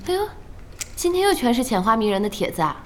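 Chatty nagging gamer girl, high bouncy voice, impatient, bit of music behind. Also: sing-song, fast talker, noisy background. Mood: gossipy, amused. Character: outspoken, gossipy, impatient young woman gamer. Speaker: voice actor in a radio drama. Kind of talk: animated audio drama dialogue.

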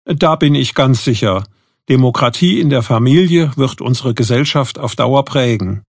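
An actor in his forties playing an electrical engineer guest, speaking in a calm, measured way. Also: medium pitch, dry recording, studio quality. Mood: confident. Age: 40s. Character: male electrical engineer of forty, optimistic guest. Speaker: studio guest. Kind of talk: scripted exam dialogue.

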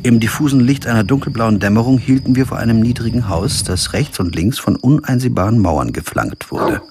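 An actor playing a stubborn, principled lawyer who tells a radio crime story in measured, literary German prose style. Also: male voice, medium pitch, expressive, heavy background noise, lightly acted. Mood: brooding, measured. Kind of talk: first-person radio crime drama narration and dialogue. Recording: music behind the voice.

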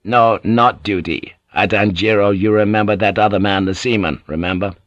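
A man voicing a scheming warlord who speaks halting, clipped English. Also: fairly low pitch, steady tone, no echo, dull audio, natural voice. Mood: weary, earnest. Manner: clipped broken English, insistent, softly persuasive.

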